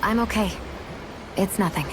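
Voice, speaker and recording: A woman's voice: mid-range voice, normal speed, background noise, lightly acted. An actress playing a reserved, composed young woman warrior in a video game, understated, with music behind. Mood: guarded. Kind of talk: English video game cutscene acting.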